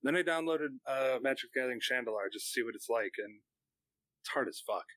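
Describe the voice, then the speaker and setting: A man's voice: mid-range voice, fast talker, a bit bright, no room sound. A man chatting casually and informally as a podcast co-host. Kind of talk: casual gaming chat.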